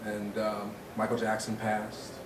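A man's voice: fairly low pitch, completely flat delivery, a little slow. A man working as an interviewer, asking unscripted questions in a hesitant, tentative way and rephrasing as he goes. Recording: echoey, distant mic, noisy background.

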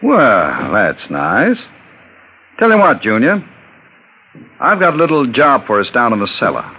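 A man's voice: mid-range voice, wildly swooping pitch, measured pace, bright, forceful tone, breathy edge, heavily acted. An actor in an old-time radio drama playing a shifty man with a coaxing, patronizing, falsely friendly manner. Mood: coaxing, sly. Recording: noisy old radio recording.

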